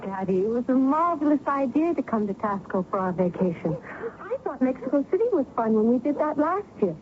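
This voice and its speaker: An eighteen-year-old daughter, frank and observant, with a fairly high, lively voice. It is old radio drama acting, heard through a very muffled, noisy recording. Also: female voice, a little fast. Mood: enthusiastic. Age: young adult.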